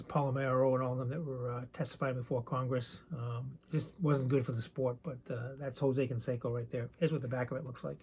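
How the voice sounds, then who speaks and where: A soft-spoken man with a raspy voice, chatting casually and rambling a bit while showing his collection. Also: mid-range voice. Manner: soft-spoken, not loud; rambling, hesitant with many ums. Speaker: card collecting channel host. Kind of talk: casual show-and-tell chat.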